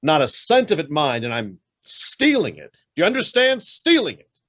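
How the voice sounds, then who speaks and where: An actor playing a guilt-ridden, fervent embezzler on the run in a radio drama, with an emphatic, theatrical delivery. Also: male voice, a little high, huge pitch swings, normal speed, fairly bright tone, no room sound, studio quality, exaggerated voice. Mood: desperate, defiant. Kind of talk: stage-style radio drama acting.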